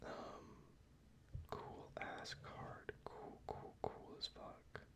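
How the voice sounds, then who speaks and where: A man talking through his card collection in a soft-spoken ASMR video, mostly whispering in a relaxed, casual way with the odd swear word. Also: very slow. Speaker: ASMR creator. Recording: slightly dull, faint background noise.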